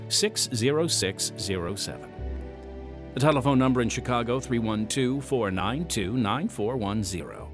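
A man working as a radio announcer and narrator, reading a script in an earnest, emphatic, rhetorical manner. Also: mid-range voice.

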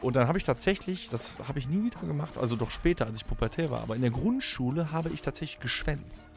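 A man's voice: a little high, animated, quick pace, vocal fry, soft background music. A middle-aged man from Germany hosting a podcast, chatting casually and rambling with self-mocking asides. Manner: rambling, self-mocking, lots of filler sounds. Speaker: podcast host. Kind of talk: casual chat in a staged holiday audio play.

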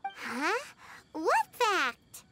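Squeaky, excitable little fairy. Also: female voice, drawn-out words, exaggerated voice. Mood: puzzled. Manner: exclaiming, chirpy, wide-eyed. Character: tiny excitable childlike female fairy companion. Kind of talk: video game voice acting. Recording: game music behind the voice.

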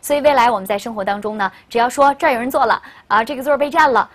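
A woman's voice: fairly high pitch, very fast. A young woman teacher presenting a lesson to camera, explaining to learners and stressing key phrases. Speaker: English teacher. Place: indoor studio.

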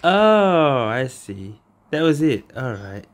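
A woman's voice: a bass voice, sing-song, slow, slight background noise. A woman streamer giving casual gameplay commentary and reacting to the game. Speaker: gaming streamer. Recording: game music behind.

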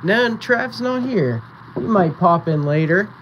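Slow-talking man running a live auction. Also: high voice, muffled recording, slight background noise. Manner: slow, relaxed, chatty, counting down bids. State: voice going raspy. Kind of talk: live-stream auction chat. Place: small indoor photo booth setup.

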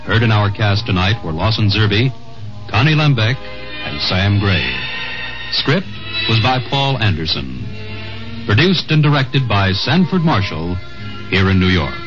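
A man's voice: fairly low pitch, sing-song, unhurried. A man with an American accent announcing and reading adverts in a 1950s radio style. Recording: muffled old radio broadcast, faint music.

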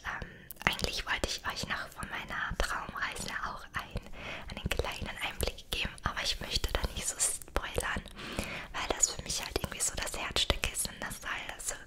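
A woman in her late twenties whispers to her viewers at an unhurried, slow pace. Her delivery is breathy, gentle and a little hesitant, in the manner of personal ASMR talk, recorded close up. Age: late 20s. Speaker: ASMR creator. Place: small indoor setup with dark backdrop. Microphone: two close microphones either side.

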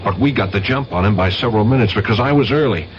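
An actor with an American accent playing a tough, wisecracking, wary federal detective in a 1970s radio drama. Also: male voice, on the low side, fast talker, close to an everyday voice. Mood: tense, urgent. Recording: muffled, hissy 1970s radio recording.

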